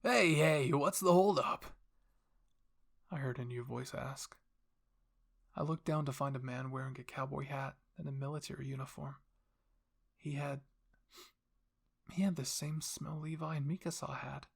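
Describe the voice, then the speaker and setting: A man's voice: mid-range voice, flat delivery, normal speed, vocal fry, long pauses, slightly rough. A man narrating a first-person ASMR roleplay story as its performer.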